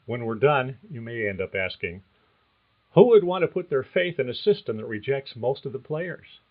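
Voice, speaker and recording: Male host reading career advice from a script, measured and explanatory; thin, phone-like recording. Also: mid-range voice, sing-song. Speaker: podcast host. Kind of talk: scripted narration.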